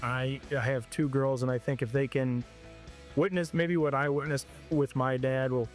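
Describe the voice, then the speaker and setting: A man in his forties, a high school football coach from Ohio, answers interview questions at a mid-range pitch. He talks haltingly, restarting and repeating words as he gathers his thoughts. Soft music plays faintly behind him. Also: fairly even intonation.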